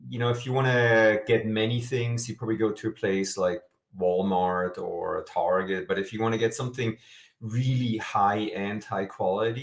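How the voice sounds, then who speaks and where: Low-voiced Swiss-born man in echoey room. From Switzerland. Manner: enthusiastic, explanatory, with frequent "uh" fillers. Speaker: guest, SEO expert. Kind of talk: interview answers.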